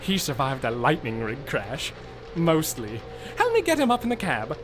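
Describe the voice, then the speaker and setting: Pompous, swooping mad doctor. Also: male voice, on the high side, wildly swooping pitch, moderate pace, over music. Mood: breezily casual. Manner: theatrical, prim, flippant. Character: pompous, fussy, blithely callous male mad doctor. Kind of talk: audio drama acting.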